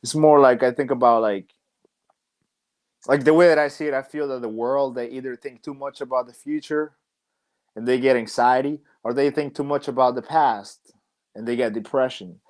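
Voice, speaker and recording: A young man who wrestles professionally talks as a podcast guest at a mid-range pitch. He rambles thoughtfully and leans on "like" and "you know" while he works out his ideas. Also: fairly even intonation, moderate pace. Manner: rambling and reflective, many "like" and "you know" fillers. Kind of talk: casual interview chat.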